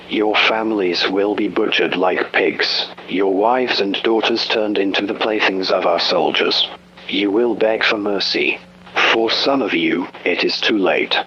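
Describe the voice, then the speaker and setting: Man acting cold, bright-voiced regime announcer, muffled broadcast. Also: fairly low pitch. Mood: menacing. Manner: cold, menacing, formal. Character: ruthless authoritarian British regime announcer threatening citizens. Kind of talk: scripted emergency broadcast announcement. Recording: dull, noisy radio-style broadcast with hum.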